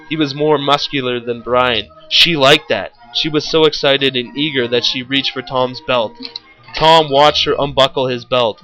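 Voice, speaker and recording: A man's voice: mid-range voice, sharp tone, rough recording. A man reading a story aloud in a theatrical, mock-serious way. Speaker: story reader, show host.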